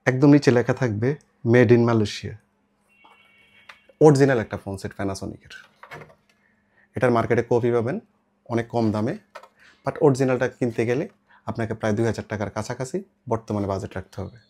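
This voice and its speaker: A man's voice: mid-range voice, moderate pace, pauses often, darkish tone. A man with a Bangladeshi accent gives an informal, step-by-step product demonstration as a seller, mixing in English words. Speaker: shop seller presenting products. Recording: clear background noise with clicks.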